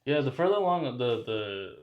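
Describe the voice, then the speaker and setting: A man's voice: mid-range voice, big pitch swings, normal speed, lo-fi sound. An American man with a mid-range voice co-hosts a casual chat. He is blunt and teasing, and swears as he goes. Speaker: podcast co-host.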